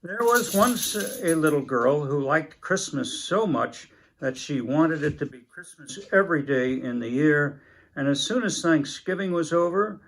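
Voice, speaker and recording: Older man with a creaky, gravelly voice. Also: fairly high pitch, poor audio. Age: older, about 70s. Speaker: story reader. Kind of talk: reading a Christmas story aloud. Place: indoors, book-lined room at a table.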